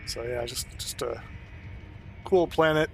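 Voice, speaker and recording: A man's voice: medium pitch, a little slow, creaky, faint music behind. Man streaming with a lingering cold. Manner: relaxed, rambling, laughs often, lots of ums. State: getting over a cold. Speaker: streamer playing a space game. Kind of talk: casual live-stream commentary.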